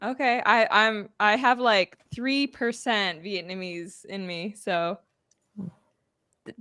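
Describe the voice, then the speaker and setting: A woman's voice: mid-range voice, fairly even intonation, takes it slowly, sharp tone, smooth, no room sound, studio quality. A woman on a live stream as a guest, chatting in a bubbly way. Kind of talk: casual live-stream chat.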